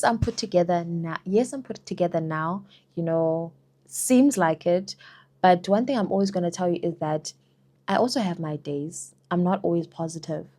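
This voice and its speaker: A young woman with a South African accent talks straight to camera in a relaxed Q&A vlog. Her voice sits a little low. She chats freely, peppering her answers with "girl" and "you know". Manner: chatty, lots of "you know" and "girl", laughs often. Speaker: vlogger. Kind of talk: casual Q&A vlog.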